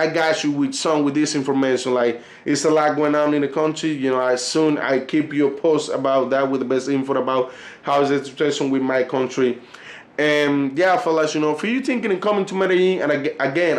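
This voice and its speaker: Colombian Spanish-accented male vlogger, slightly high voice, rambling direct-to-camera chat, roomy sound. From Cartagena, Colombia. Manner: repetitive, loose, calls viewers fellas. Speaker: vlogger and tour guide. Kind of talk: casual monologue to camera.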